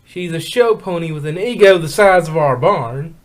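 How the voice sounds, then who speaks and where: Irritable cartoon farm mare played by a man, raised pitch, very lively swings, folksy scolding for a fan story. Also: normal speed, close to an everyday voice. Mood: argumentative. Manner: exasperated, folksy rural phrasing.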